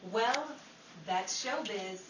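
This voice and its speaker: A woman's voice: medium pitch, animated, very slow. A woman playing a poised, patient 1950s Hollywood radio hostess in old-time radio style. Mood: polished newscasting. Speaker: radio show host and actress. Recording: roomy, distant microphone, loud background noise.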